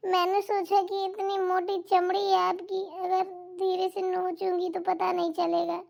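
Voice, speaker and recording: A woman voicing a cheeky, sharp-tongued young daughter-in-law in a cartoon comedy, mocking and talking back. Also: extremely high pitch, completely flat delivery, a little slow, vocal fry, heavily acted. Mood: teasing. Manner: mocking, talks back, teasing. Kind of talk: Hindi cartoon comedy voice acting.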